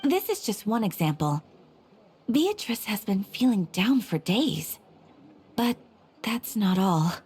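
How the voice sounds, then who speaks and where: Composed, slow-spoken helpful receptionist. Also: female voice, mid-range voice, very animated, a little mellow, slight background noise. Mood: calmly concerned. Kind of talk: video game character acting.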